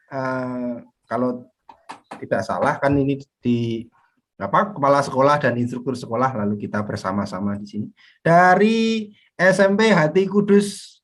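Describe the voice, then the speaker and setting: A man with a Javanese accent moderating an online group discussion in a chatty way, laughing between remarks, on a video call. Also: on the high side. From Java. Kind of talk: casual online meeting moderation.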